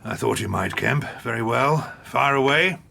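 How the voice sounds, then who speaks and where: A man playing a middle-aged police colonel in an audio drama, gruff, dutiful and deferential, with a raspy, gravelly voice. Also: mid-range voice, lively intonation, moderate pace, sharp tone, heavily acted. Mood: brisk, deferential.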